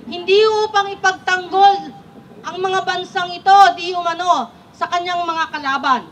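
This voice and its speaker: A woman's voice: very high-pitched, steady tone, normal speed, fairly bright tone. A middle-aged woman with a Filipino accent delivering an emphatic rally speech into an outdoor microphone. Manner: declamatory, emphatic. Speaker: protest speaker. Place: outdoor protest rally stage. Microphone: microphone through PA.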